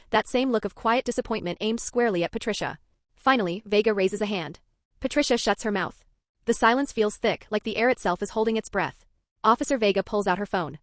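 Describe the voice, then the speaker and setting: A woman narrating a scripted first-person story with tense, dramatic storytelling. Her voice sits at an ordinary middle pitch. The sound is dry and close, with no room echo.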